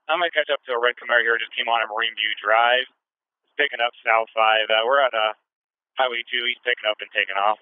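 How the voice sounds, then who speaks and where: A man's voice: mid-range voice, steady tone, fairly quick, clean tone, no echo, narrow, tinny audio. A man, a state trooper in a patrol car, giving short call-outs over a police radio heard on a scanner.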